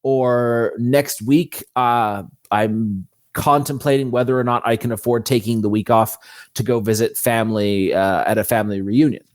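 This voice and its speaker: A man's voice: medium pitch, fairly even intonation, moderate pace, dry recording, clean studio sound. A man speaking as an interview guest, explaining things casually and thinking aloud as he goes.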